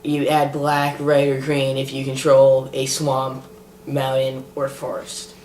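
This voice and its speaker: A man's voice: mid-range voice, monotone, slow pace, darkish tone. A man with a congested voice, co-hosting a casual podcast and chatting with short agreeing interjections. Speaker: podcast co-host. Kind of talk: casual card-design chat. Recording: echoey room with faint noise.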